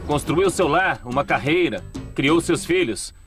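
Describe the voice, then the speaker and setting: A man voicing a show host in a dubbed documentary, narrating and asking questions in a measured, grave, explanatory way. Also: a little high, animated, a bit bright. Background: background music.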